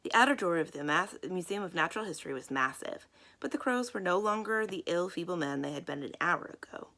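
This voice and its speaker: A woman's voice: lowish voice, expressive, fairly quick, pushed and bright. A woman reading a children's book aloud as a storyteller, in scripted narration.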